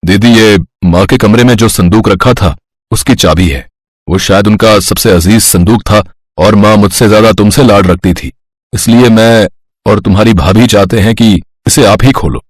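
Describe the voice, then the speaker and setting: A man voicing an affectionate, teasing grown brother in a cartoon, hearty and husky. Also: on the low side, normal speed, no echo, clean studio sound, lightly acted. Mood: sincere, affectionate.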